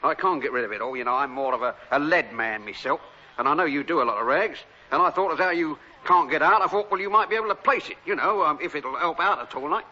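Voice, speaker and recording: An actor playing an awkward, kindly neighbour from the rag-and-bone trade, with a London accent, in a British sitcom. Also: male voice, a little high, fast talker, sharp tone, lo-fi sound.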